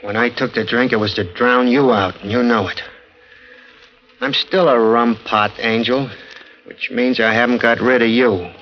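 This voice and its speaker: An actor playing a bitter, jealous, hard-drinking snoop of a husband, sardonic and menacing, in theatrical 1940s radio melodrama style. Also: male voice, medium pitch, fairly even intonation, normal speed, slight background noise, lightly acted. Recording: muffled 1940s radio recording with music.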